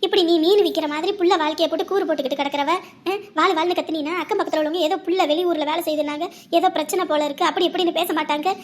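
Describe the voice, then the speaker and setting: A woman doing a low, gentle family elder. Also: fairly even intonation, rapid-fire, slight background noise. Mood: chiding. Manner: low, gentle, coaxing with proverbs.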